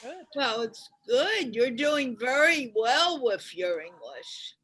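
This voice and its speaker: Woman, host, slightly high voice, slow, deliberate, encouraging. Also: very animated, fairly bright tone, a little hiss or hum, rough recording. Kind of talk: interview on a video call.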